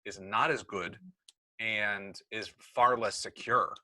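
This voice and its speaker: A man's voice: lowish voice, fairly even intonation, slow pace, sharp tone, dry recording. A middle-aged American man chatting in a work meeting on a video call, explaining things and often correcting himself. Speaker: meeting leader, school technology administrator. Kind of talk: casual work meeting chat.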